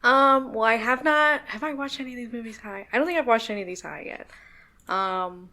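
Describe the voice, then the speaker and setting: Bright-voiced woman in meandering casual chat. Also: a little high, a little fast. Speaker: podcast host.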